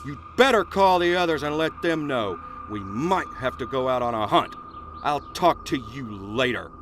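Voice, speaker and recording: A man playing a gruff, hot-tempered small-town sheriff in an audio drama, commanding, curt and coarse-mouthed. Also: medium pitch, expressive, normal speed, noisy background, close to an everyday voice. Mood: angry threatening.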